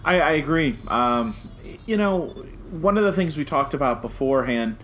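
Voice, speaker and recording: A man's voice: fairly high pitch, expressive, normal speed, a bit bright, a little hiss or hum, poor audio. A man chatting casually as a podcast co-host, enthusiastic and jokey. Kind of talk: casual podcast review chat.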